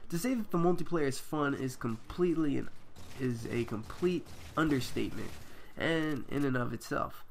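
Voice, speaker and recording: A man's voice: medium pitch, expressive, normal speed, creaky, slight background noise. A man with a stuffy head cold, reading a prepared video game review aloud to his viewers with the odd um. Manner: reads a prepared review with filler ums. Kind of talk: scripted review read to camera.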